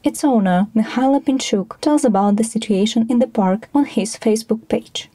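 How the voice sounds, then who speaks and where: A woman's voice: a little high, moderate pace, mellow, dry recording. A woman reading scripted documentary narration for a podcast.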